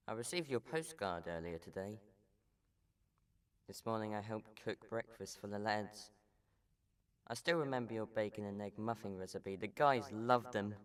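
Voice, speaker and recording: A man playing an affectionate soldier older brother, tenderly reading his letter home in a radio drama. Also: deep voice. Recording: voice distant from the mic.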